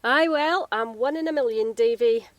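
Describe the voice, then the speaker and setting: An actress playing a friendly, chatty, gently teasing Scottish home carer in an audio drama. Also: female voice, on the high side, normal speed, dry recording, natural voice. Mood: cheeky, reassuring.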